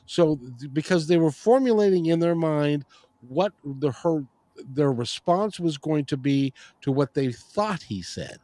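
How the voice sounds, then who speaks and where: A man's voice: a little high, expressive, unhurried, dark tone, dry recording. A middle-aged man hosting a podcast, talking casually and rambling, with frequent restarts. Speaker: podcast and radio show host. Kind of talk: casual interview chat.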